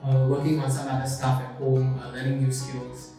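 A man's voice: mid-range voice, completely flat delivery, normal speed, distant mic. Young man in an echoey room. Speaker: interview guest. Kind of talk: casual chat. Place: bare small room, indoors. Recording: echoey room, music behind.

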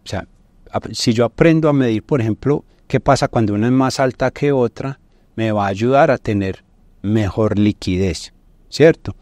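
A man's voice: mid-range voice, normal speed, a little mellow, dry recording. A middle-aged man with a Colombian accent explains business finance as a podcast guest, in a didactic way with frequent tag questions. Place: indoor podcast studio. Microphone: lapel mic.

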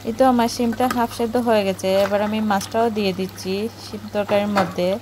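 A woman's voice: medium pitch, steady tone, quick pace. A woman with a Bangladeshi accent gives casual voice-over narration as a home cooking vlogger. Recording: clear background noise, home recording.

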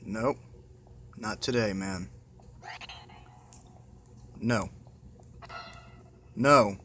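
A man's voice: lowish voice, drawn-out words, fairly bright tone. A man giving casual, muttered let's-play commentary with exasperation and plenty of swearing. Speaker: gamer playing a horror game. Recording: noisy amateur phone screen recording.

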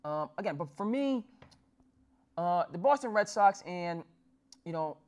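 A man's voice: high-pitched, animated, a little slow, darkish tone. A man in his thirties from New Jersey hosting a sports talk show, using formal phrasing with frequent fillers and restarts. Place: small studio with dark backdrop.

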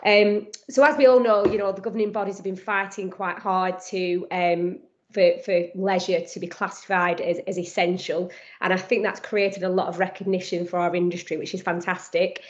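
A woman with a strong Lancashire accent speaks quickly and upbeat, full of ums and restarts. Her pitch stays fairly even and flat. She talks into a headset on a slightly dull, lo-fi video call. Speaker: guest speaker. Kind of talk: online panel presentation.

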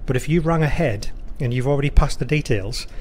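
Male air traffic controller, mid-pitched, talking through a hands-on demonstration conversationally, noticeable background noise. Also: fairly quick, darkish tone. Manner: conversational, explanatory, with rattled-off radio phraseology. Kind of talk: informal instructional walkthrough. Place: indoor control room or workstation, beside a touchscreen.

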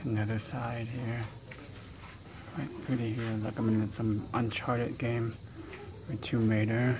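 A travel vlogger talks casually and slowly in short remarks, at a middling pitch. The voice is caught on a handheld recorder outdoors, with clear ambient noise and insects around it. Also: little pitch movement. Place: outdoors on a mountain temple stairway and summit. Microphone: handheld phone or camera held by speaker.

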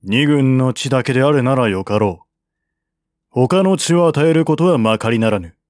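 Audiobook prince man acting: imperious, curt, authoritative, medium pitch, dry. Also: expressive, moderate pace, a little mellow, no room sound, close to an everyday voice. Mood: stern. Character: stern, imperious prince in military command. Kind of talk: audiobook character reading.

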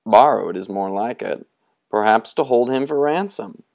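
A man's voice: on the low side, animated, moderate pace, creaky, a little mellow. A man voicing a gaunt, mysterious older man who beckons theatrically and slips into whispers. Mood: sly.

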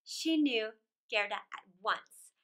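A middle-aged woman reading a fairy tale aloud to children, expressively and theatrically, with deliberate phrasing. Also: a little high, animated, noisy background. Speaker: storyteller. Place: small indoor room.

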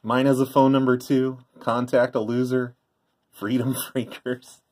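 A man's voice: medium pitch, little pitch movement, measured pace, a little mellow, slight background noise. A middle-aged man giving an offhand, rambling show-and-tell commentary in a casual way. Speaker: vlogger, former prank call tape trader. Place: indoors by a tape deck.

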